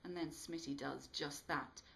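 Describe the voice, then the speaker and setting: A woman with a slightly low-pitched voice performs as an author reading her own work. Her storytelling is expressive and dramatic, shaped for listeners following a narrative. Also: measured pace, creaky, a little hiss or hum. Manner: animated, dramatic delivery. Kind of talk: expressive storytelling read-aloud.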